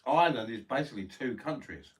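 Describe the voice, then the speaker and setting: A man's voice: medium pitch, animated, quick pace, husky, distant mic. A British man chatting casually as a laid-back co-host beside a livestreamer. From Britain. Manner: laid-back, brief replies. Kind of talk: casual chat. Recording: heard from off-mic.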